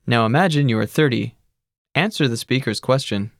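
A narrator giving measured, scripted instructions in a language course. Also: mid-range voice, dry recording, studio quality. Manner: even, measured teacherly instructions.

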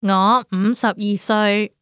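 A woman with Cantonese as her first language, giving slow, carefully enunciated model phrases for a language course. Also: mid-range voice, fairly even intonation, a bit bright, smooth, no room sound, clean studio sound. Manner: clipped, carefully enunciated single words.

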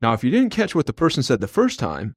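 A co-host in a scripted language lesson, explaining word meanings in short lively lines. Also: medium pitch, fast, breathy edge, no room sound, studio quality.

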